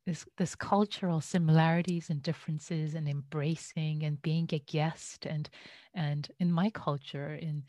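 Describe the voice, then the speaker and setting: Slow, hesitant low-voiced woman teacher. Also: steady tone, warm tone, dry recording, studio quality. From Iran. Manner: slow, hesitant, many fillers and restarts. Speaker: Buddhist teacher. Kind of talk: dharma talk. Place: home, online session.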